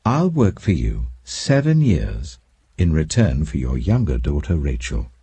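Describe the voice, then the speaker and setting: A man's voice: extremely low pitch, very animated, measured pace, mellow, slightly rough, no echo, heavily acted. A man voicing an indignant, ardent male suitor in a dramatic scripture audiobook, with pleading, swooping emphasis. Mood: earnest.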